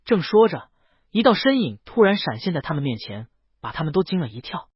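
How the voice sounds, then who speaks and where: A man's voice: fairly high pitch, sing-song, brisk, breathy edge, dry recording, highs cut off. A man reading a story aloud as an audiobook narrator, in a dramatic, scripted storytelling style.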